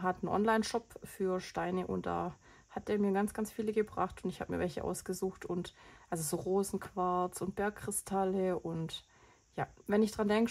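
A woman's voice: on the low side, darkish tone. A woman with a cold hosting a budgeting vlog, chatting casually and rambling through her expenses with lots of fillers. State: has a cold. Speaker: budgeting channel host.

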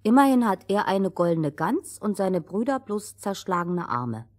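A woman's voice: medium pitch, sing-song, a little slow, darkish tone. A woman playing a naive, cheeky, contrary co-storyteller in a fairy-tale audio play, playful and matter-of-fact. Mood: thoughtful. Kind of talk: acted fairy-tale narration in dialogue.

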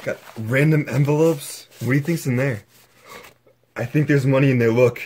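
A young man narrating his own exploration vlog, excitedly exclaiming over what he finds while filming up close with a handheld camera. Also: medium pitch, moderate pace, slight background noise. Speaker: exploration vlogger.

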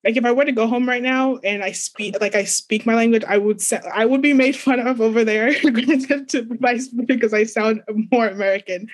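A woman's voice: a little high, normal speed, hardly any pauses. Young woman from Nigeria, light Nigerian accent, panelist on a video call, hesitant and searching for words. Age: young adult. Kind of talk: personal story on a panel.

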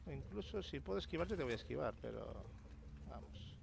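A man's voice: mid-range voice, animated, fairly quick. A man with an accent from Spain giving casual, sweary let's play commentary, muttering to himself. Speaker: game player, channel host. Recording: clear background game noise.

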